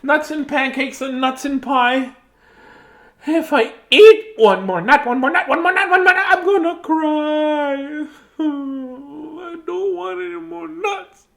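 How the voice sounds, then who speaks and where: A woman's voice: high voice, slow, gravelly, voice pushed up, heavily acted. A woman voicing a dramatic squirrel who is fed up with nuts, in a singsong, wailing way. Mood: exasperated.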